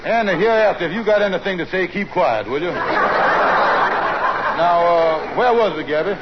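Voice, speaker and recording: An actor playing a scheming, smooth-talking middle-aged husband who hems and haws, in 1940s radio comedy. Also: male voice, high-pitched, expressive, normal speed. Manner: wheedling, hesitant with many uhs. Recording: dull old radio broadcast with hiss.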